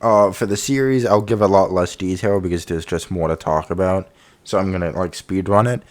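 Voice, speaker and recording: A young man with a slightly low voice runs through his picks in an easygoing, unscripted way. He's blunt with his opinions and talks straight to his viewers as a commentator. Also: brisk. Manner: candid, opinionated, loose with fillers. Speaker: YouTube commentator. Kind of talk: casual review commentary.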